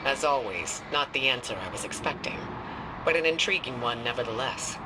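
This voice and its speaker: A man's voice: medium pitch, animated, moderate pace, close to an everyday voice. A man playing an inquisitive, cool-headed intellectual in a video game, speaking in a dry, thoughtful way. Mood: intrigued. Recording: very loud ambient noise.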